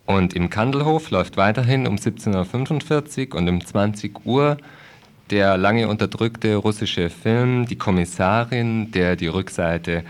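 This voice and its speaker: A man's voice: lowish voice, measured pace. A man presenting radio event listings, reading in a wry, ironic manner. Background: music.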